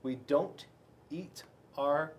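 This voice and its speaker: An American man reading a picture book aloud to children, playful and rambling, with dramatic pauses and chatty asides. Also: on the high side, a little hiss or hum. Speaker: school resource police officer reading to children.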